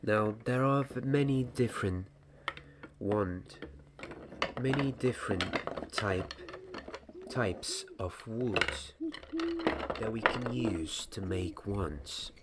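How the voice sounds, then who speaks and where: A man in his twenties playing a patient, mysterious young wandmaker apprentice in a soft-spoken, deliberate ASMR roleplay. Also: fairly low pitch, very noisy. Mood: hushed, instructive. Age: 20s. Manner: slow, hushed, deliberate, sometimes slipping into whisper.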